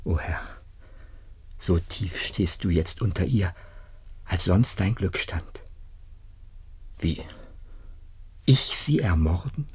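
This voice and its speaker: An actor playing a loyal servant, anguished and indignant, declaiming in a classical radio drama. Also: male voice, sits low, lively intonation, slow pace, pauses often, a little mellow, breathy edge, exaggerated voice. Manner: agonised, declamatory, swinging into whispers. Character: loyal male servant, anguished and indignant at his master's order.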